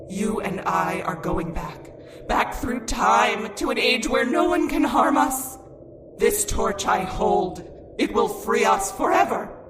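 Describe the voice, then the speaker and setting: A woman's voice: medium pitch, vocal fry, reverberant, long reverb tail. A woman playing a vengeful, fatalistic colonial ghost possessing a wife, speaking archaically in old-time radio horror style. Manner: archaic, theatrical speech.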